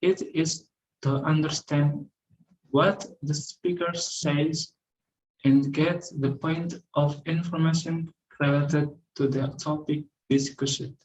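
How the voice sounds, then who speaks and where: A young man, a student reading a class presentation carefully, pausing and correcting himself. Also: fairly high pitch, fairly even intonation, a little mellow, a little hiss or hum, poor audio.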